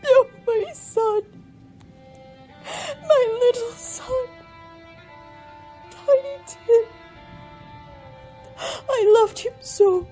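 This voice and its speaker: Woman as grieving, high-voiced, tender mother. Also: dark tone, faint music behind, heavily acted. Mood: weeping grief. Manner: tender, drawn-out, sorrowful delivery. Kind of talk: theatrical radio drama acting.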